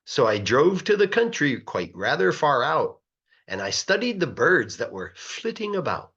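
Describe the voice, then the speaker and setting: A man reading a children's rhyming story aloud in a playful, rhythmic, expressive way, like a teacher. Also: on the high side, a little slow, slightly rough, dull audio. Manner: animated, playful, rhythmic verse delivery.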